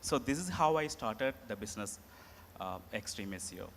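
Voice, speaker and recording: A man's voice: lowish voice, animated, creaky, a bit bright. A man speaking earnestly as an entrepreneur on a conference panel. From Sri Lanka. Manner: earnest, plain halting phrasing. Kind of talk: panel talk. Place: large conference hall. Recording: echoey hall, faint room noise.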